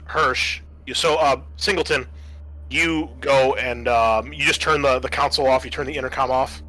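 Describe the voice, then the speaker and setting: A man running a tabletop roleplaying game as game master, narrating in halting, pieced-together phrases. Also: medium pitch, fairly even intonation, pushed and bright, low-quality recording.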